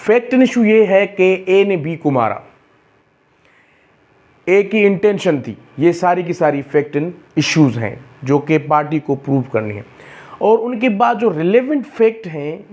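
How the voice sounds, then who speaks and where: A man with a Pakistani accent lecturing on law, reading out provisions and explaining them deliberately, repeating key phrases as he goes. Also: a little high, a little mellow.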